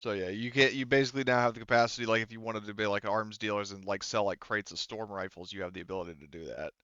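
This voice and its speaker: Smooth-voiced man as game master, slightly dull audio. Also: lowish voice, quick pace, fairly bright tone, no room sound. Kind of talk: casual tabletop game talk.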